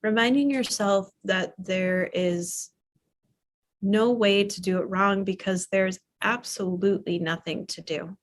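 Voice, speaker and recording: A woman yoga teacher leads a guided relaxation in an unhurried, gentle voice. She talks very slowly, leaving long pauses between soft, soothing phrases. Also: medium pitch, fairly even intonation. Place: live online or studio class.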